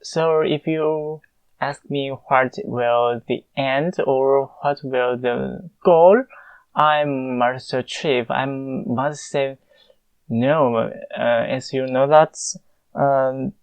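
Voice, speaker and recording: Young guy w mandarin chinese accent, native mandarin, fills every pause w uh, gravelly voice, dry close sound. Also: medium pitch, fairly even intonation, dry recording, lo-fi sound. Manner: halting, many filler pauses. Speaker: conlang creator answering listener questions. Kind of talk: casual Q&A monologue.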